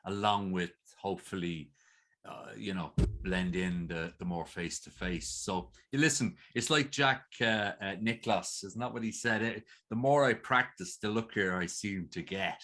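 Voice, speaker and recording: A man's voice: low-pitched, moderate pace, pushed and bright. A man with an Irish accent hosting an interview, asking long, rambling questions in a chatty way. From Ireland. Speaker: interview host. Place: video call.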